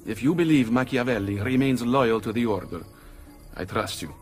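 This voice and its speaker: An actor playing a shrewd, wary Italian leader of a thieves' guild in a dramatic game scene. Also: male voice, medium pitch, moderate pace, a little mellow, faint music behind, natural voice. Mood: warming, confiding. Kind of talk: dramatic video game acting.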